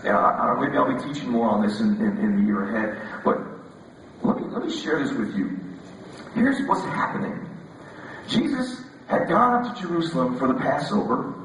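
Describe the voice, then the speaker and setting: A male preacher with a scratchy voice gives a casual, joking sermon, repeating words as he restarts. His pitch rises and falls freely. He sounds distant, caught by a far mic in a big, echoing hall. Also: sing-song, moderate pace, fairly bright tone. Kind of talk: relaxed, conversational sermon.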